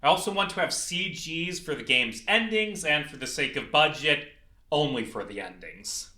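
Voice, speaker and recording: A man's voice: on the high side, lively intonation, normal speed, sharp tone. A young adult man narrating a scripted video essay as a game developer. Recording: echoey, reverberant room.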